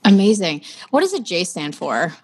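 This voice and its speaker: A woman's voice: on the low side, big pitch swings, moderate pace, breathy edge. A Canadian woman hosting a podcast, chatty and enthusiastic, with many fillers. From Ottawa, Canada. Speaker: podcast host. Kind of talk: casual podcast interview.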